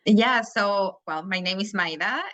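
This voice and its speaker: A woman's voice: mid-range voice, measured pace, fairly bright tone, no echo. A woman from Chile speaking English with a Chilean Spanish accent, a life coach talking candidly and bluntly as a podcast guest. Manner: candid, frank and outspoken. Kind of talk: casual interview conversation.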